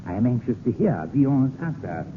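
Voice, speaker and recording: An actor playing a sly, vengeful French king with halting, deliberate theatrical delivery in 1930s radio style. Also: mid-range voice, sing-song, close to an everyday voice. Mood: bitter gloating. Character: sly, vengeful king of France toying with a poet. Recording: noisy, narrow-band 1930s radio broadcast.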